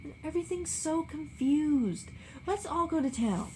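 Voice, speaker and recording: A woman's voice: high voice, animated, moderate pace, dark tone, a little hiss or hum, pitched above the performer's own voice, low-quality recording. A woman reading aloud who voices a restless, wistful young wealthy woman with a pleading drawl.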